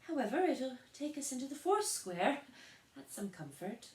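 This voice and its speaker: A woman's voice: high-pitched, sing-song, moderate pace, echoey room, voice pushed up. A woman doing a light, earnest little girl. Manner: light, earnest, slightly anxious. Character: polite, curious young girl.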